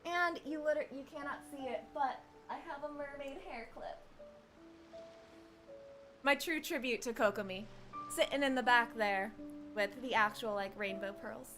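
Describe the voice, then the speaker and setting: A woman's voice: high-pitched, moderate pace, fairly bright tone, soft background music. A woman livestreaming a game, chatting casually and playfully with her viewers. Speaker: live streamer. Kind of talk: casual livestream chat over gameplay.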